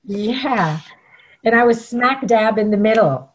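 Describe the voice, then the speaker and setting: A woman's voice: fairly high pitch, expressive, takes it slowly, rough recording. A middle-aged woman from Trinidad and Tobago, telling her story conversationally as an interview guest. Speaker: interview guest, networking coach.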